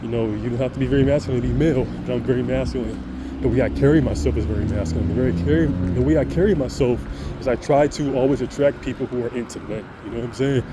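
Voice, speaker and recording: A man with a deep voice speaks in an earnest, rambling vlog monologue. He restarts sentences and stammers often. Loud traffic and air-conditioning noise hum behind him throughout. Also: very fast. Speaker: vlogger. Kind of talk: casual monologue to camera.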